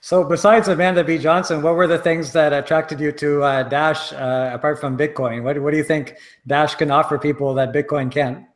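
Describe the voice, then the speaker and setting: A man hosting an interview show, relaxed and pausing often with fillers. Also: a little high. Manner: relaxed, with frequent "uh" pauses. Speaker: host.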